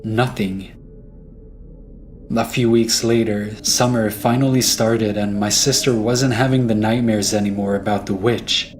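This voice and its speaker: A man's voice: fairly low pitch, fairly even intonation, measured pace. A man narrating a scripted first-person horror story. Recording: music behind the voice.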